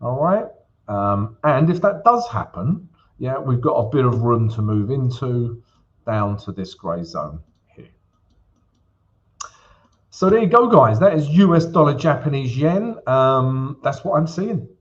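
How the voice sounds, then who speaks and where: A man hosting a live trading show, talking casually and often turning to his audience. His pitch swings widely. The audio is a little muffled, like a typical livestream. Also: sing-song, unhurried. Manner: chatty, peppers lines with "yeah?" and "guys". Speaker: forex livestream host. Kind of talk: live trading chart walkthrough. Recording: slightly dull livestream audio.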